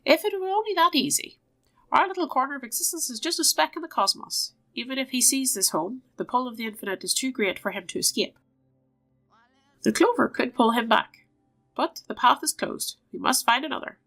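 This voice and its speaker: Woman plays sly old game witch: raised pitch, cryptic, coaxing, faint music beneath. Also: lively intonation, fairly quick, fairly bright tone, exaggerated voice. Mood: cryptic, knowing.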